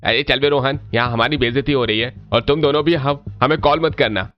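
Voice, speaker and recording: A man's voice: medium pitch, a little fast, dull audio, lightly acted. A man voicing a cocky, offended young loafer in a Hindi cartoon, brash and indignant. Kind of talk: Hindi family cartoon voice acting.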